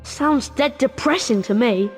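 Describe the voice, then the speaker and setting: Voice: medium pitch, a little slow, long reverb tail, soft background music, close to an everyday voice. An actor voicing an eager, plain-spoken young schoolboy wizard in a video game. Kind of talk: video game cutscene acting.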